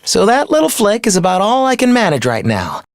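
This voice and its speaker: A man's voice: sits high, huge pitch swings, brisk, dry recording, studio quality, full character voice. A young man playing a smug, mocking villain in a video game, with a condescending, theatrical delivery. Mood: smug, taunting. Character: taunting, smug young villain living in someone's head. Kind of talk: video game character acting.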